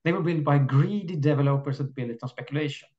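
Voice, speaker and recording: Swedish-accented male guest, firm and deliberate, slightly high voice, muffled lo-fi audio. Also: darkish tone. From Sweden. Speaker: guest, architecture activist. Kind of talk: interview over a call.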